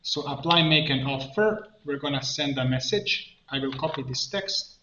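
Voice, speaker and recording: A man presenting an improvised software tutorial, thinking aloud haltingly as he works at a computer. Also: mid-range voice. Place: indoor room at a computer. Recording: dull, echoey room, clear background noise. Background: keyboard typing and mouse clicks.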